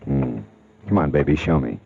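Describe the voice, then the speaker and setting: A low-pitched, hardboiled American private eye, wry and slangy in the manner of 1940s radio. He sounds tough and quick with a wisecrack. The old recording is dull, with music clearly behind him. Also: lively intonation, exaggerated voice. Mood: soothing, skeptical. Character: wry, tough male private detective narrating his case. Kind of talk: 1940s radio acting, hardboiled detective narration.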